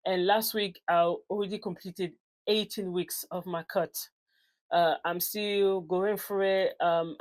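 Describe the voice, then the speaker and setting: A woman in her forties, raised in France, speaking English with a French accent mixed with African tones. She is a personal trainer talking casually to camera, chatty and unscripted, correcting herself as she goes. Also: fairly low pitch. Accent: French with African influence. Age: 40s. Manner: chatty, loose and unscripted, with many fillers and self-corrections.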